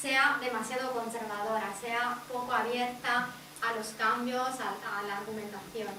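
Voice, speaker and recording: A woman's voice: a little high, steady tone, moderate pace, noisy background. A woman with an Italian accent, a native Italian speaker, explaining ideas directly to a workshop group. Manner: explanatory, addresses audience directly. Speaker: workshop presenter. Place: indoor workshop room. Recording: echoey room, distant mic.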